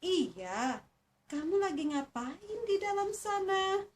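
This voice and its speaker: A woman's voice: very high voice, very animated, takes it slowly, pitched above the performer's own voice, exaggerated voice. A woman voicing a curious, trusting but hesitant elephant in a children's fable, with a friendly questioning manner. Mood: friendly curiosity. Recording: distant mic, faint noise.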